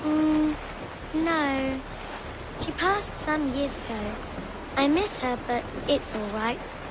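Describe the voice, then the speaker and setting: A woman's voice: sits high, takes it slowly. A woman voicing a curious, candid young English girl, a sea captain's daughter, in a video game cutscene. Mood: quietly resigned. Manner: inquisitive, precise, matter-of-fact. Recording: noisy wind, waves and creaking ship.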